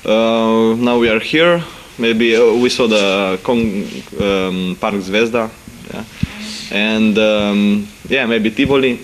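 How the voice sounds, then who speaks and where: Casting: young man, exchange student, slow and halting, outdoors, faint noise. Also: lowish voice, fairly even intonation, poor audio. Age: young adult. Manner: halting, laughs easily. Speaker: exchange student being interviewed.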